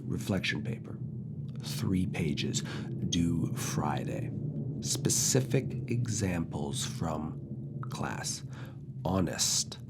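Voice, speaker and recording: A man playing a firm, teasing, quietly seductive university professor in soft, intimate ASMR roleplay, speaking deliberately in a husky, breathy voice with whispered phrases. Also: heavy background noise, exaggerated voice. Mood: firm, instructive. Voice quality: husky, breathy, low gravelly voice. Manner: slow, deliberate, pauses between short phrases, slipping into whispers.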